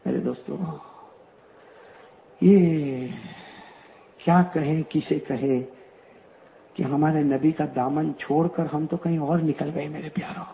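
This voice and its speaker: A man's voice: a little high, fairly even intonation, slow pace, gravelly, long pauses, a little hiss or hum. A man preaching to a gathering, repeating his phrases and calling out questions for the crowd to answer. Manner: repetitive, rhetorical, calls out questions to the crowd. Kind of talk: religious speech to a gathering. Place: religious gathering. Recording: dull lo-fi recording.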